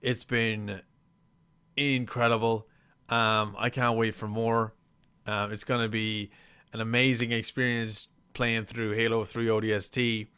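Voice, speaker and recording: A man hosting a reaction stream, talking casually and excitedly to his viewers, with hyped, sweary exclamations. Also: fairly low pitch, unhurried, smooth. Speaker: reaction video host and game streamer.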